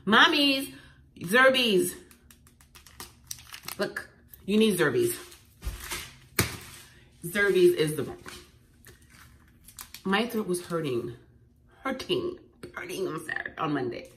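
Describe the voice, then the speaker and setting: A woman with a head cold, sounding congested, sharing home remedy tips in an animated, emphatic vlog at home. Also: mid-range voice, takes it slowly, long pauses. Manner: animated and emphatic, repeating phrases. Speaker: lifestyle vlogger. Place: at home indoors. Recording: echoey room, faint noise.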